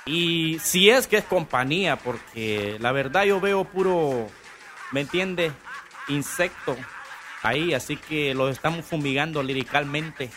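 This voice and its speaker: A man's voice: a little high, unhurried, music in the background. A man mocking a rival in half-spoken rhymes, laughing as he goes. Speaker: rapper.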